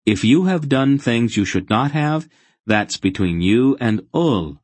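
A man plays an earnest boy hero from a fantasy audiobook, raising his voice above his own. He sounds awkward and stubborn, with lively swings in pitch. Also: slow pace, no echo. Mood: grave, firm. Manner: flustered, protesting, firm.

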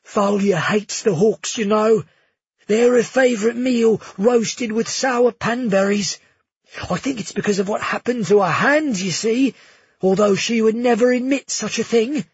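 Man doing a gushing old innkeeper, high and fluttery, husky, fawning, dull dry recording. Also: unhurried, no room sound, heavily acted. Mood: nervous. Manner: effusive, gushing, exclamatory. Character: giddy old male tavern keeper, fawning.

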